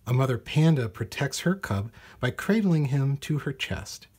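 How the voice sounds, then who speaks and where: A man with a husky voice reading a children's nature storybook aloud in measured, deliberate phrases. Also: mid-range voice, expressive, darkish tone. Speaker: storybook reader. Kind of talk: read-aloud children's nonfiction storybook narration.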